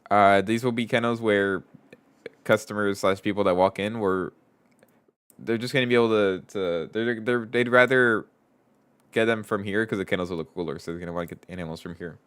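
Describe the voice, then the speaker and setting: Male streamer, stuffy nose, low-ish smooth voice, casual think-aloud coding chat. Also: fairly quick, a bit bright. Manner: thinks aloud, reads chat aloud, trails off and restarts. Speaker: streamer and game developer.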